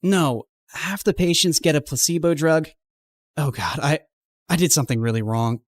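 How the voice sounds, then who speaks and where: A woman voicing an earnest, anxious, devoted teenage boy in an animated story. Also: deep voice, normal speed, dry recording, studio quality. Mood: guilty.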